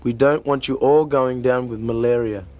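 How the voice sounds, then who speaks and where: Educational film role: a man as a stern hospital doctor, lecturing and patronising, mid-pitched. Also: steady tone, normal speed, a little mellow, close to an everyday voice. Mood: instructing. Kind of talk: acted educational film dialogue.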